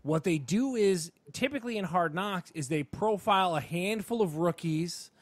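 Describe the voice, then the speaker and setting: A man's voice: sits high, lively intonation, moderate pace, no echo. A man co-hosting a casual sports and entertainment podcast, talking in an enthusiastic, emphatic, rambling way. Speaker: podcast co-host.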